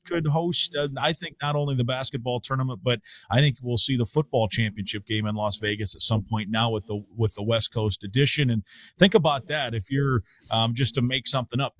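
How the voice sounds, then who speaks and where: A man's voice: medium pitch, fairly bright tone, dry recording. Thoughtful male sports broadcaster. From Iowa. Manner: thoughtful, many fillers and restarts. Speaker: radio play-by-play broadcaster, guest. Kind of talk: casual sports talk.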